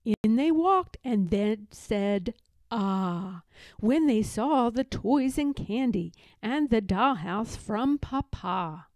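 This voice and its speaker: A woman reading a children's picture book aloud in a deliberate, expressive storytelling manner. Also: fairly high pitch, no echo. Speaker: storybook reader.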